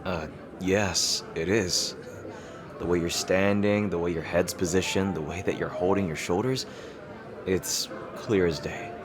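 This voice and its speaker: A man playing a teasing rival king in an intimate dramatic roleplay, halting and smug yet easily flustered. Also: low-pitched, fairly even intonation, a crowd in the background. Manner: halting, teasing, with soft chuckles and pauses.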